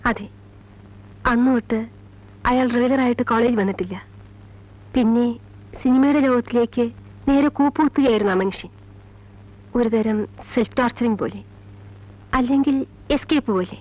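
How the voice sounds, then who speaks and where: An actress playing a sorrowful mother and former college teacher, telling her past gravely in halting, measured phrases in a film drama. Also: on the high side, natural voice. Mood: sorrowful recollection. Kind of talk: Malayalam film drama, slow confessional narration. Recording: dull old film soundtrack, music behind. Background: film score music.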